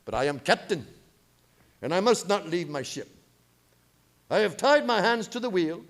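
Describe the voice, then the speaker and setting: A man playing a grim, fearful foreign ship captain in a radio play, reading his log in clipped, halting broken English. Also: high-pitched, big pitch swings. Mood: dread, resolute. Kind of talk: dramatic radio play narration.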